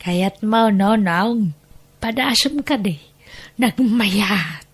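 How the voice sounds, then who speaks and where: A woman playing a pushy, money-minded friend in a family radio drama, coaxing and bossing with drawn-out words. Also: medium pitch, slightly rough. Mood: bossy, insistent.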